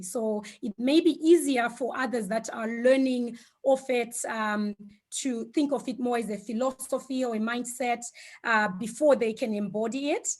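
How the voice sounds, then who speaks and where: A woman whose first language is Shona, giving an online talk over a video call, with frequent fillers and gentle hesitations. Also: on the high side. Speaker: guest speaker.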